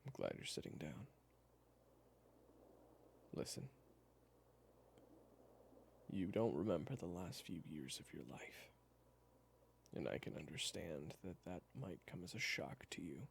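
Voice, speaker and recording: A man playing a worried, exasperated friend in an audio roleplay, speaking haltingly and naturalistically. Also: sits low, animated, creaky, a little hiss or hum, exaggerated voice. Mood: somber, gentle. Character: worried, exasperated male friend of an amnesiac, gently explaining. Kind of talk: audio roleplay acting, one-sided dialogue.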